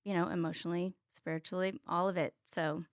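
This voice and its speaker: Texan lady in her 30s chatting as a guest, smooth clear voice, dry sound, lots of ums. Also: lowish voice, level tone, brisk, dry recording. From Texas. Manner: chatty, many ums, laughs at herself. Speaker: guest, health coach. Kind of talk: casual interview chat.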